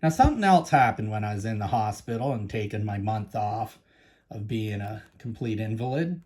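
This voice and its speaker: A man's voice: lowish voice, lively intonation, moderate pace, darkish tone, slightly rough. A man with a Canadian accent hosting a casual coin-collecting vlog, chatty and enthusiastic, talking straight to his viewers. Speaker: coin collecting channel host. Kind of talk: casual show-and-tell vlog.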